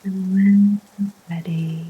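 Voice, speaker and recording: A woman guiding a sleep hypnosis relaxation in a soft, whispery, gentle and soothing voice, with long unhurried pauses. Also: medium pitch, husky, phone-quality sound. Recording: steady rain sounds behind voice. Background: rain ambience.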